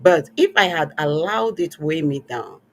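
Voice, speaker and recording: A man's voice: on the high side, lively intonation, unhurried, a bit bright, slight background noise. Man, host, worship leader, earnest, encouraging, preacher-like cadence. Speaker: podcast host. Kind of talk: warm closing remarks and personal story.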